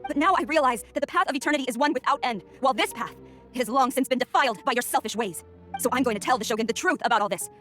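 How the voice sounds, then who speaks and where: A woman's voice: high voice, very fast, a bit bright, soft background music. An actress playing a stern, dutiful young woman general in a video game, speaking in a formal, commanding and earnest way. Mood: resolute. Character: stern, dutiful young female general, betrayed by her master. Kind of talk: dramatic video game acting.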